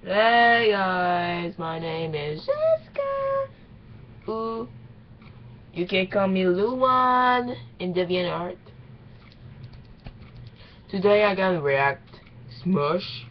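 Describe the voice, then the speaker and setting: A young woman doing a casual reaction vlog in halting, exclaiming, informal English. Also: mid-range voice, huge pitch swings. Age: young adult. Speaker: reaction video maker. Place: small bedroom, indoors. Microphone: webcam at arm's length. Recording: dull lo-fi webcam sound, faint noise.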